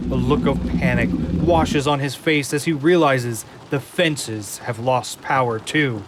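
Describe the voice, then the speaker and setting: A man narrating an audio drama from a script in a deliberate, suspenseful way. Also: medium pitch, heavy background noise. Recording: loud rain and storm effects behind.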